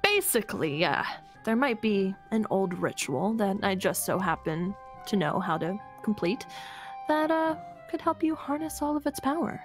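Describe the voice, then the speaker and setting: A sly, smug witch, played by a woman in a game roleplay, speaks at a middling pitch with music underneath. She is coy and hesitant, drawing out her teasing phrases. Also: very animated, moderate pace, natural voice. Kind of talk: improvised game roleplay acting. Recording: music behind the voice. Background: background music.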